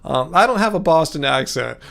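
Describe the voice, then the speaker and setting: A man's voice: a little high, vocal fry, fairly bright tone. A man hosting a livestream, chatting casually and rambling as he reads and answers viewer comments, with frequent restarts and chuckles. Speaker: livestream host.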